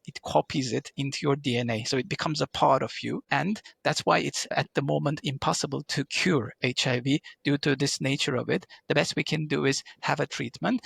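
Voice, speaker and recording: Man, middle-aged, from Turkey, gravelly, husky, explanatory with fillers. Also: a little high, a bit bright, no echo. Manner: explanatory, frequent fillers and restarts. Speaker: guest, pharma digital manager. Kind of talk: interview answers.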